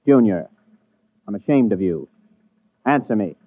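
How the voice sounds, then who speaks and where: A man's voice: on the low side, expressive, unhurried, dry recording. A man playing a wry, overworked bachelor scientist and space captain in a 1950s radio drama, conversational and emphatic. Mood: teasing, exasperated. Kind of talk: 1950s radio drama acting and first-person narration. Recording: narrow-band 1950s radio broadcast, music behind.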